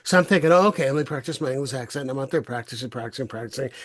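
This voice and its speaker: A man's voice: mid-range voice, rapid-fire. A man hosting a podcast panel, telling stories in a loose, chatty way with frequent restarts. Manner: animated storyteller, repeats words, laughs often. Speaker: podcast host. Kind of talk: casual panel chat.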